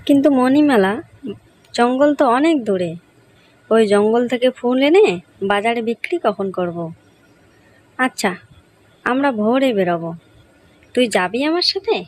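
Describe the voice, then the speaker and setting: Woman playing a high-voiced, worried village mother. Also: animated, moderate pace, long pauses, darkish tone, natural voice, poor audio. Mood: earnest. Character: poor worried village mother, humble and loving. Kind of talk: Bengali cartoon story voice acting.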